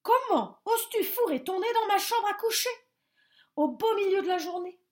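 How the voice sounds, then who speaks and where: A woman's voice: very high voice, very slow, mellow, pitched above the performer's own voice, heavily acted. A woman voicing an angry owl woken in the middle of the day, hooting and scolding. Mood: outraged.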